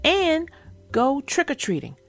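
Woman voicing an eager high-pitched young hippo girl. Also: huge pitch swings, slow, creaky, faint music behind, full character voice. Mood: excited. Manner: exclaiming, eager, encouraging. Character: cheerful, excitable young girl hippo big sister.

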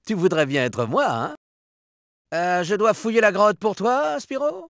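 High, lively cocky cheetah sidekick. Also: male voice, unhurried, no room sound, studio quality, full character voice. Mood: cocky, teasing. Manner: breezy, boastful, chummy. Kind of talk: video game character voice acting in French.

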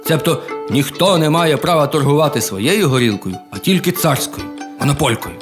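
A man telling a humorous folk tale in a colloquial, expressive, folksy manner. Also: a little high, normal speed. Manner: colloquial, expressive, with chuckles and exclamations. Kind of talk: folksy humorous oral storytelling. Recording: music behind the voice.

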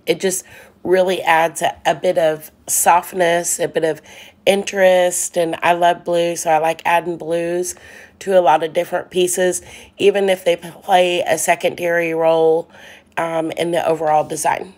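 Slow, low-pitched woman musing aloud. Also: flat delivery, a little mellow. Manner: unhurried, thoughtful, frequent ums and restarts. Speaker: furniture painter. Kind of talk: relaxed voice-over narration.

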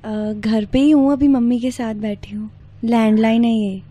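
Coaxing girlfriend on the phone, mellow and slightly high. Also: steady tone, normal speed. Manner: coaxing, pleading. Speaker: girlfriend on a call.

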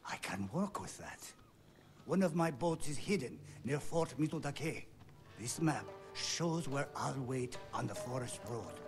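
An actor playing a gruff, weary, hard-drinking smuggler in a video game, grumbling and reluctant. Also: male voice, medium pitch, lively intonation, measured pace, faint music behind. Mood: resigned, businesslike. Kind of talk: video game cutscene acting.

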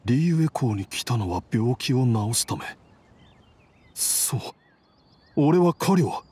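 A man playing a confused, ailing father in an anime game, husky and halting as he repeats himself. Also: mid-range voice, expressive, dark tone. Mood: dazed, confused. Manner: halting, dazed, repetitive.

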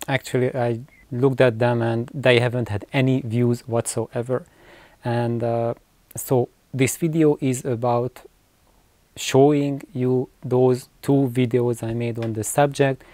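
Slow, mellow man explaining, haltingly. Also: mid-range voice, no room sound. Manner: halting, hesitant phrasing with repetitions. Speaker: video host. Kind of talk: unscripted explanatory vlog to camera. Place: small cluttered room with shelves of records, indoors.

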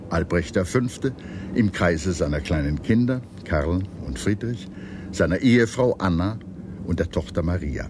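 Low-voiced man narrating history. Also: noisy background. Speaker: documentary narrator.

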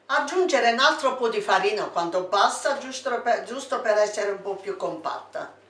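A woman's voice: mid-range voice, lively intonation, a bit bright. An older woman with an Abruzzo Italian accent, a home cook explaining a recipe step by step in her kitchen. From Italy. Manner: slow step-by-step instructions. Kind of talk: cooking demonstration. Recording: echoey kitchen, distant mic.

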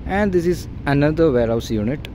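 A man's voice: fairly high pitch, animated, fairly quick, warm tone, slight background noise. A man working as a real estate agent, walking through an empty warehouse and pointing out its features in a plain, repetitive way, recorded on a handheld phone. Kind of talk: walkthrough property tour. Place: large empty indoor warehouse. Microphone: phone held by the filming person.

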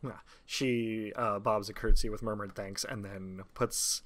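A man's voice: fairly low pitch, fairly even intonation, a little slow, a bit bright. A man acting as game master, narrating a tabletop game in a casual, improvised way.

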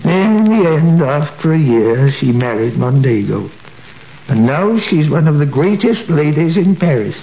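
A man's voice: on the high side, sing-song, measured pace, dark tone. An actor playing a man who tells a sad story in a confiding, mournful way in a 1930s radio drama. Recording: echoey old radio recording with hiss.

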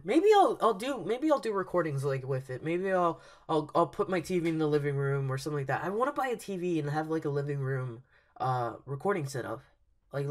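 A young man hosting a casual game-trailer reaction from a dark bedroom, rambling with lots of fillers and restarts and breaking into loud excited outbursts. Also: fairly high pitch. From Cuban heritage. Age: young adult. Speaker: YouTube reaction host. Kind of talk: excited live reaction chat. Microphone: headset, webcam at desk.

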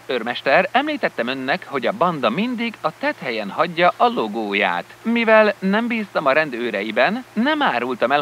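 An actor voicing a self-assured young boy detective in an animated story, explanatory and commanding. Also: on the high side, a little hiss or hum.

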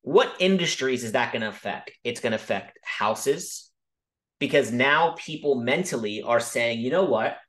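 A man casually teaching about markets over a video call, talking quickly and emphatically and often checking in with "okay?". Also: mid-range voice, lively intonation, fairly bright tone. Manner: fast, emphatic, frequent "okay?" check-ins. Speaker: crypto educator hosting a Zoom call. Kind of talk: casual screen-share teaching.

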